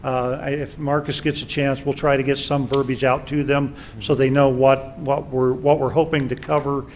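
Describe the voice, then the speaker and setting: A man's voice: medium pitch, level tone, moderate pace, slight background noise. A man speaking to a council committee, often repeating and restarting his words. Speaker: city official at meeting. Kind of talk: public meeting remarks. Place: city council chamber.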